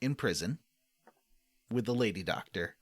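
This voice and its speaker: A man's voice: fairly low pitch, expressive, normal speed, dry recording, studio quality. A man chatting casually as a podcast host about games, jokey and acting out characters' lines as he recaps. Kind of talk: casual gaming podcast chat.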